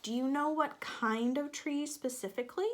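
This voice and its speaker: A woman's voice: sits high, moderate pace. A woman teacher reading a picture book aloud to young children, stopping to explain things and ask them questions.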